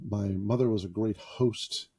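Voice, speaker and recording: A man's voice: lowish voice, steady tone, unhurried, warm tone, husky. A man hosting a podcast, joking about himself in a loose, improvised monologue from a small foam-padded closet. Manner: self-deprecating and jokey. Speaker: podcast host.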